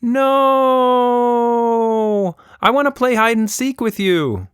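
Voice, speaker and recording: A man voicing an easily bored little girl in a storybook, insistent, whiny and excitable. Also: very high voice, takes it slowly, smooth, no echo, studio quality, pitched above the performer's own voice, exaggerated voice. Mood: whiny protest.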